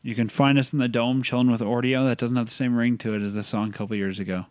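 A man's voice: medium pitch, fairly even intonation, fast, darkish tone, smooth, no room sound. A man hosting a casual sports podcast, chatting in an upbeat way about hockey. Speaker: podcast host.

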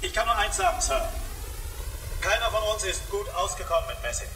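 A man's voice: high voice, expressive, a little fast, bright, forceful tone, distant mic, slight background noise. An actor playing a defensive, evasive, edgy male lighthouse keeper in a German radio crime drama.

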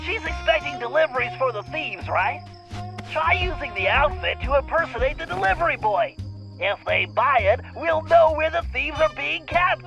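A man voicing a brainy, fretful young sidekick who talks fast and excitably, in a video game cutscene. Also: very high-pitched, gravelly, muffled recording, faint music behind, heavily acted. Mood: scheming. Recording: game score underneath.

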